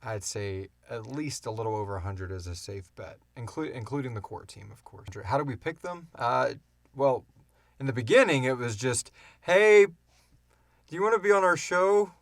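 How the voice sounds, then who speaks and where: A man's voice: mid-range voice, lively intonation, moderate pace, no echo. A man hosting a casual unscripted podcast Q and A, talking informally and swearing freely. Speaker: podcast host and producer.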